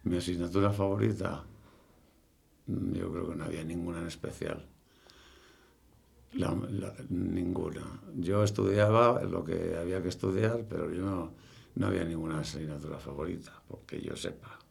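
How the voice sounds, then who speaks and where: A man in his early seventies with a Castilian Spanish accent, casually reminiscing in an interview in a halting way, repeating words as he recalls. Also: sits low, steady tone, gravelly, darkish tone. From Spain. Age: early 70s.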